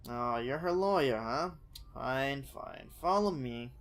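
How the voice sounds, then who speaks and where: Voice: mid-range voice, animated, slow pace. A streamer doing a curt prison guard. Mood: impatient. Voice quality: nasal. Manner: curt, impatient. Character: gruff male prison guard. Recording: music in the background.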